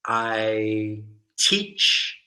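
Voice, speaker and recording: A man's voice: on the low side, lively intonation, drawn-out words, pushed and bright. A young man, an English tutor, chatting casually on a video call, telling stories animatedly and mimicking locals.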